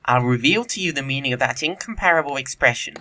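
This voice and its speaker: A man's voice: mid-range voice, expressive, fast, sharp tone. A man playing a flippant, witty young upper-class bachelor in a period comedy audio play, with an arch, theatrical delivery. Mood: teasing, insistent.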